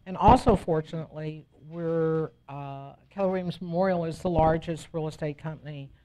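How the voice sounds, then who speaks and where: A woman's voice: deep voice, fairly even intonation, normal speed, mellow. A woman teaching a real estate class in a blunt, conversational, emphatic way, acting out bits of client dialogue as she explains. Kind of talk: informal classroom instruction with role-played client dialogue. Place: training room with attendees. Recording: muffled, noisy classroom recording.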